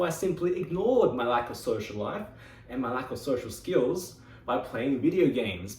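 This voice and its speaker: Man, lively delivery, speaking in an echoey meeting room a distance from the mic. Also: mid-range voice. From Australia. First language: Mandarin Chinese. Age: 20s. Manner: expressive storytelling with dramatic pauses. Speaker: club member giving a speech. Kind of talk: prepared speech to a club.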